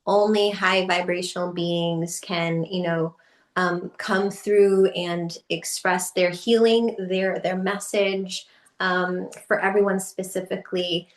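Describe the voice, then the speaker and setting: A woman's voice: lowish voice, takes it slowly. A woman guest on a podcast, telling stories casually with lots of fillers and little laughs. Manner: chatty, many fillers and laughs, lively quoted asides. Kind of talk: casual conversational storytelling. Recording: echoey room.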